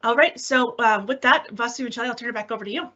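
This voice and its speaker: A woman giving a software demo in a conversational way, explaining things with examples and rhetorical questions over an online meeting. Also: fairly high pitch, fast talker. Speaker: technical specialist presenting a software demo. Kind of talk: webinar demo and question answering. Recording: dull, lo-fi online call audio.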